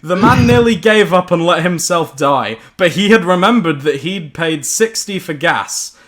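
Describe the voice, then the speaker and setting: A man's voice: high voice, lively intonation, measured pace, fairly bright tone. A young man reading a silly horror story to friends, giggling and stumbling over the words as storyteller.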